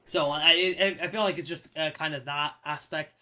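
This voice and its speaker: Animated young man hosting a chat. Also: on the high side, normal speed. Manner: hesitant, many restarts and fillers, animated swings in loudness. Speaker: podcast host. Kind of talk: casual film discussion.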